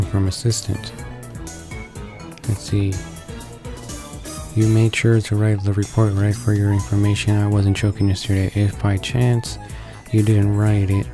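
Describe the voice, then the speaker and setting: Male let's play narrator, deep-ish and even in intonation, halting read-aloud delivery of game text. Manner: stumbles and rereads words while reading. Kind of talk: reading a visual novel aloud. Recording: voice-over with game music behind.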